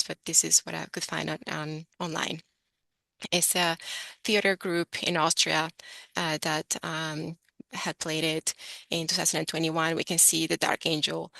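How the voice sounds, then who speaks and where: A woman's voice: low voice, creaky, pushed and bright, no room sound. A woman giving a careful, explanatory online presentation with slides. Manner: careful, explanatory, self-correcting.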